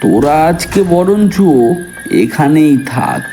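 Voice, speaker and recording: A man voicing a grave, knowing village uncle who tells and narrates a cartoon story in a deliberate, instructive manner. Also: on the high side, very animated, warm tone, soft background music, heavily acted. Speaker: narrator and village elder character.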